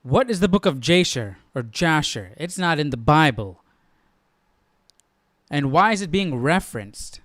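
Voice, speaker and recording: Casual guy doing live bible study commentary, slightly high voice, dry audio, asks lots of questions. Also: sing-song, measured pace, pauses often, fairly bright tone, dry recording. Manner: conversational, questioning, repeats himself for emphasis.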